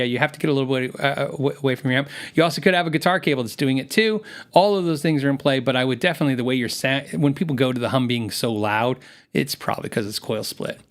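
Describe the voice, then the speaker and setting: A man's voice: a little high, fairly bright tone. A man hosting a gear livestream, chatting casually with viewers, rambling with many restarts and reading out viewer comments. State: voice worn from long shows.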